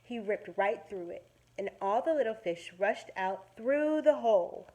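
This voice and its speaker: A woman teacher reading a children's story aloud in an expressive, dramatic way, pausing to explain words simply to young listeners. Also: mid-range voice, rough recording.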